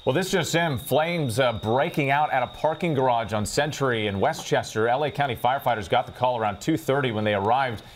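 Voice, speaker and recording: A man's voice: medium pitch, brisk, hardly any pauses, slight background noise. A man with an American accent reading scripted television news as an anchor.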